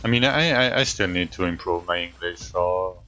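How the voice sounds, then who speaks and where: A man's voice: sits low, sharp tone. A French man with a French accent, a native French speaker, chatting casually with his live audience as a streamer, often stopping and restarting his sentences. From France. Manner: halting, with frequent restarts.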